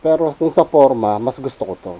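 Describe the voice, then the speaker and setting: A man's voice: medium pitch, lively intonation, brisk, warm tone, rough recording. A Filipino man giving a casual, unscripted gear review as a motovlogger, informally mixing in English. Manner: informal, switches between Tagalog and English.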